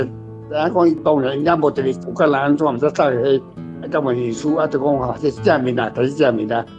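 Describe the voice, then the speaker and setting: Man, Taiwanese accent, fairly high voice, casual halting discussion, guitar music behind. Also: darkish tone. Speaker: reading club discussion guest. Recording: dull lo-fi sound, guitar music.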